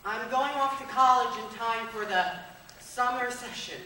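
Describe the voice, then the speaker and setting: A woman on stage playing an earnest, lovestruck best friend, shy and halting in delivery. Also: on the high side, sharp tone, slight background noise. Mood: downcast. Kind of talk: stage play acting. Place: school theatre stage, recorded from the audience. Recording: very echoey hall, far from mic.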